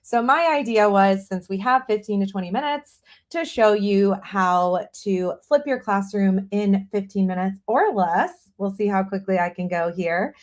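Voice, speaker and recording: A woman from North Carolina with a light Southern accent, presenting a software demo over a video call with a friendly, casual manner. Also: medium pitch, a little slow. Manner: friendly, uses y'all, frequent fillers like "like" and "um". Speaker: guest presenter.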